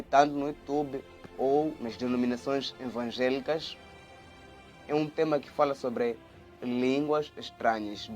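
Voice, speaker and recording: A man giving a video Bible study, reading scripture aloud and explaining it in an earnest way, often repeating words and restarting. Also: medium pitch. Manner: earnest, repeats words and restarts, grows lively when retelling. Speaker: Bible teacher.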